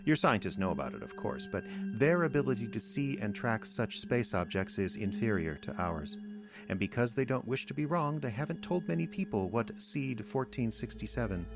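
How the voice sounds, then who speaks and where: An actor playing a cold, patient, condescending alien invader in an audio drama, lecturing in a measured, smug way with contempt for humanity. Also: male voice, mid-range voice, sing-song, gravelly, over music. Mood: smug, ominous. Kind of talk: audio drama villain monologue.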